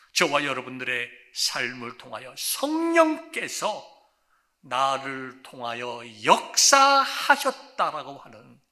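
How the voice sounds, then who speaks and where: A male pastor preaching a sermon, moving from hushed, almost whispered phrases to loud, emphatic declarations and stretching out key words. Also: high-pitched. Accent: Korean.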